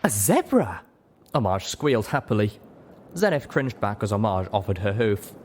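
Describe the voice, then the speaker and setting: A man's voice: lowish voice, lively intonation, moderate pace, a little mellow, a little hiss or hum. A man reading an audiobook, giving scripted fiction a dramatic narration. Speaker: audiobook reader.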